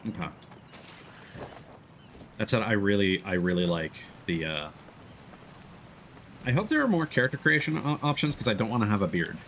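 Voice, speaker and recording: Animated low-pitched man livestreaming. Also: normal speed, pauses often, a little hiss or hum. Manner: chatty, greeting and thanking viewers mid-thought. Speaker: livestreamer, VTuber. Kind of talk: casual livestream chat over gameplay.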